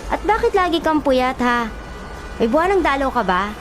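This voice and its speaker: A woman's voice: high voice, very animated, moderate pace, soft background music. An actress playing a chatty, caring, nagging young woman companion in a Filipino radio drama, with a Filipino accent. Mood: exasperated. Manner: talkative, fussing.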